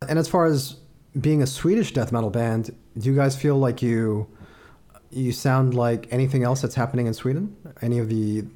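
A man hosting a podcast interview, asking questions in polite, measured phrasing. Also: medium pitch, warm tone.

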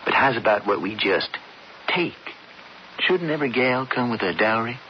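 Man acting a drawling, scheming cowboy outlaw, swooping pitch. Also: animated, normal speed, natural voice. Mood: sly, persuasive. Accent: American Western drawl. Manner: folksy, rustic, persuasive. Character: scheming older brother, folksy cowboy turned stage robber. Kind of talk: radio western drama acting. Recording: muffled, noisy old radio broadcast.

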